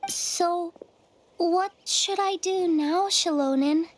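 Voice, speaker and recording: A woman voice actor playing a timid, lost young girl in a video game, speaking in a hesitant, plaintive, halting way. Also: extremely high pitch, a little hiss or hum. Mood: lost, distressed.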